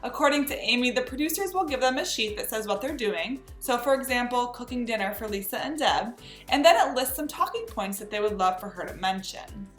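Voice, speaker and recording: A woman hosts an entertainment news recap in a high, very lively voice. Her scripted delivery is chatty and emphatic. The sound is roomy, with music underneath. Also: fairly quick. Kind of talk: scripted gossip recap narration.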